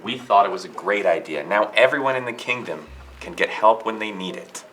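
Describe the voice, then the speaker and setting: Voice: deep voice, expressive, fairly quick, a bit bright, slight background noise, low-quality recording. A female performer playing a helpful, agreeable archer in a children's reader's theater play.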